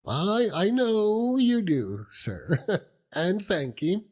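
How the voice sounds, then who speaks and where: Hearty old country seaman, chuckling, overjoyed, lively pitch, unhurried audiobook character. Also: exaggerated voice. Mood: tender, proud. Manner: chuckling, rambling, dialect-heavy storytelling with exclamations. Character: hearty old working-class seaman uncle, doting and overjoyed.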